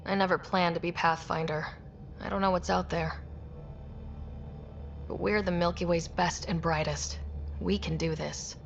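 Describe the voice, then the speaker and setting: Resolute low-voiced woman officer. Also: steady tone, unhurried, creaky, long pauses, dull audio, a little hiss or hum. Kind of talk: video game cutscene acting.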